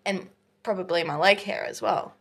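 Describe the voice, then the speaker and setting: A woman co-hosting a casual podcast, easygoing and quick to giggle. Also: lowish voice, slightly rough. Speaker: podcast co-host. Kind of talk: casual banter.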